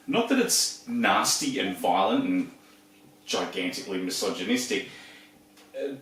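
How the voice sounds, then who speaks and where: A man in his forties with an Australian accent, chatting casually about his collection with lots of ums and restarts. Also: on the low side. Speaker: video host. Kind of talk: casual show-and-tell. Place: room full of shelves and posters. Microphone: camera across the room. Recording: echoey room, distant mic.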